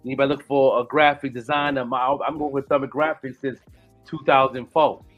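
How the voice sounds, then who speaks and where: A man's voice: mid-range voice, fairly even intonation, a little fast. Male host, late 40s, loose rambling chat full of "you know what I'm saying", muffled lo-fi recording with faint music under it. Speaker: podcast host. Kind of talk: casual chat.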